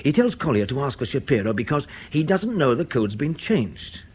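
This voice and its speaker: Husky, animated intelligence officer. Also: male voice, mid-range voice, moderate pace. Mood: urgent reasoning. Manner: colloquial, argumentative, methodical. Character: brisk, reasoning male intelligence station officer. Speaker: intelligence officer briefing a colleague. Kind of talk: radio drama acting.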